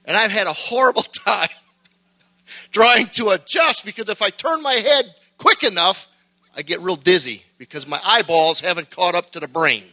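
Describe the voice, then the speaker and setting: A middle-aged man preaching a sermon, folksy and joking at first, then building to shouted fervor. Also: high-pitched, lively intonation, moderate pace.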